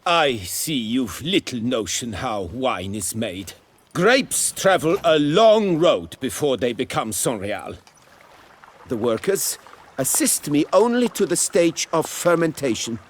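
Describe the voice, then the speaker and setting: A man's voice: mid-range voice, a little hiss or hum. An actor playing a proud but servile nobleman winemaker in a video game, speaking formally and with deference. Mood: proud, courteous. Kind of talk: dramatic video game acting.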